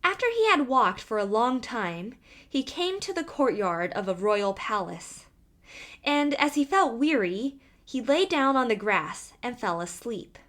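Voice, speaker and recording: A woman narrates a scripted fairy tale in a highish voice. Her pitch moves up and down expressively, and she takes her time over each phrase. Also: sing-song, unhurried, a bit bright. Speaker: storyteller.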